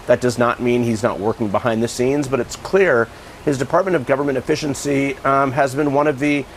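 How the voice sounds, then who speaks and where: Man, American accent, mid-pitched, live news correspondent, light vehicle noise behind. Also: fairly even intonation, a little fast, low-quality recording.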